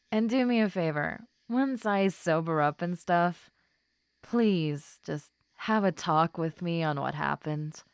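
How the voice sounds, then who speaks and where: Woman performing as tipsy smitten schoolgirl, giggly and affectionate, soft lowish voice, unhurried, intimate roleplay audio, dry sound. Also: lively intonation, clear, resonant tone, no room sound. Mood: relaxed, affectionate, then earnest, tender. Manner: slow, affectionate, giggly, drawn-out delivery. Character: tipsy, giddy, lovestruck popular schoolgirl, usually stoic. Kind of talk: intimate roleplay audio drama spoken to the listener.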